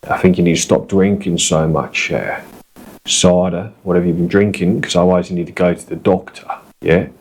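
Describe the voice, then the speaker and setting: A man voicing a blunt, rough East London man with a Cockney accent, brusque and matey. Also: sits low, fairly even intonation, fairly quick, a little mellow, slight background noise.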